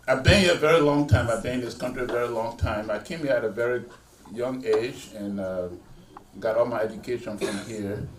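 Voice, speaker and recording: A middle-aged man, a pastor giving a conversational speech at a graduation ceremony, with many fillers and restarts. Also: medium pitch. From Liberia. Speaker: guest speaker, pastor. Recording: echoey hall from far off, faint noise.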